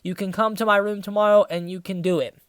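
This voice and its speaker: A performer reading the part of a grave, mystical female witch professor in a solemn, halting way. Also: mid-range voice, steady tone, smooth, dry recording, natural voice.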